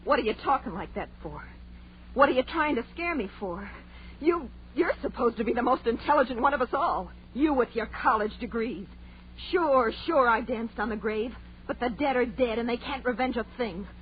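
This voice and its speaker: A jittery, defiant young woman played by an actress in a vintage radio horror play. Her pitch swings widely as she insists with theatrical emphasis. The recording is dull and muffled, with a light hum and hiss. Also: lively intonation, moderate pace, lightly acted. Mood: agitated, defiant. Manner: emphatic, dramatic. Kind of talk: 1940s radio horror acting.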